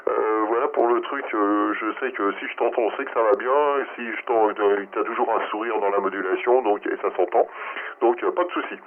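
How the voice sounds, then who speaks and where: A man's voice: on the low side, normal speed, slight background noise. A man chatting informally and jokingly as an amateur operator over a narrow radio link. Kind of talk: casual radio chat.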